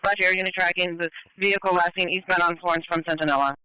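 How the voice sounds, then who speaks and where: A male police dispatcher with a high, crisp voice relays pursuit updates over the radio. He is clipped and procedural, repeating reports at a quick pace, and the sound is dry. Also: fairly even intonation, pushed and bright, no echo, low-quality recording. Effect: police radio transmission.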